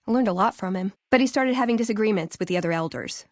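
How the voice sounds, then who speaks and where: A woman's voice: medium pitch, fast talker, no echo, clean studio sound, natural voice. An American woman playing a wry, earnest young scribe in a video game, speaking in a conversational way. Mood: earnest, reflective. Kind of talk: video game character dialogue.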